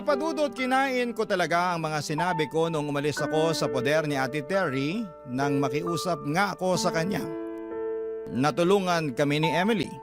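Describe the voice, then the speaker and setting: A man's voice: a little high, moderate pace, close to an everyday voice. An actor narrating as a young man confessing his troubles in a letter, in a rueful storytelling tone. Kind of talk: first-person radio drama narration. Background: loud background music.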